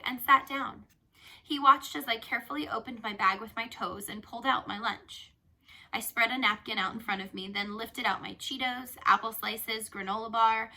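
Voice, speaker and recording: A woman reading a children's novel aloud as a storyteller, with an expressive, animated delivery. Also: on the high side. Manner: animated, acts out dialogue.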